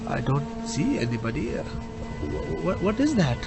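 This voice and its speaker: An actor in a comic radio drama playing an excitable, nervous immigrant cab driver who stammers and repeats himself. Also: male voice, a little high, measured pace, vocal fry, over loud music, exaggerated voice. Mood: bewildered.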